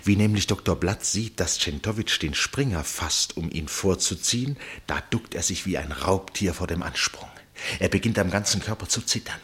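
An actor playing an absorbed first-person narrator in a literary radio play, measured and suspenseful, with deliberate pauses and a husky voice. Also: male voice, low voice, a bit bright, exaggerated voice. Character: absorbed male first-person narrator watching a tense match.

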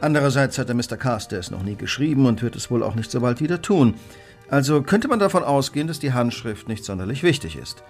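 An actor playing a cool, analytical Victorian gentleman detective in a radio play, precise and probing as he questions. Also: mid-range voice, expressive, quick pace, faint music behind, natural voice.

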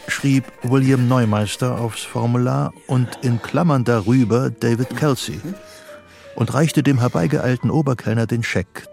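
An actor narrating a psychological thriller radio play as a sober storyteller. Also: male voice, mid-range voice, gravelly, a little mellow. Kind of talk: scripted literary narration.

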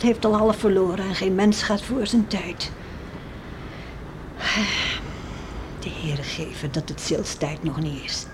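A woman's voice: medium pitch, a little mellow, natural voice. An actress playing a devout, worried farmer's wife and mother in a radio play, earnestly musing aloud to herself. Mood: resigned. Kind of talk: Dutch radio play acting, monologue and dialogue. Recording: faintly noisy radio play recording.

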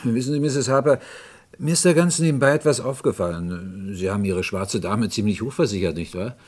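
An actor playing a polite, probing police inspector with a hesitant, courteous way of questioning in a German radio crime play. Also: male voice, on the low side, fairly quick, slightly rough.

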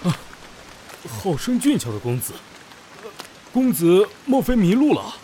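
A high male voice with very wide swings from an actor plays the hearty leader of a travelling band. He is brash, familiar and bossy in period-drama fashion, with faint noise behind him. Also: huge pitch swings, unhurried, mellow, exaggerated voice, poor audio. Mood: friendly hailing. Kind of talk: Chinese costume audio drama acting.

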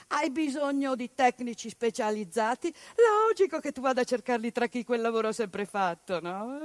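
Give me a woman delivering a fierce theatrical monologue in a high, dry voice. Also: no echo. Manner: impassioned, with sudden outbursts and hushed asides. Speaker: stage actress.

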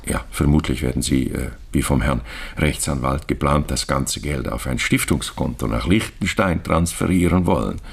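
A man playing a discreet, evasive Swiss banker in a radio crime drama, fawningly polite, formal and hesitant, with a gravelly, husky voice. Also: a bass voice, moderate pace, heavily acted. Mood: explanatory, guarded. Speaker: bank official.

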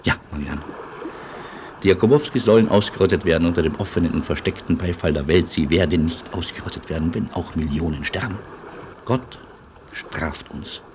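A man playing a philosophical, nervous yet indomitable refugee in a German radio play, speaking in a deliberate, musing, theatrical way. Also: low-pitched, lightly acted. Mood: grave, fervent. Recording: noisy old radio play recording.

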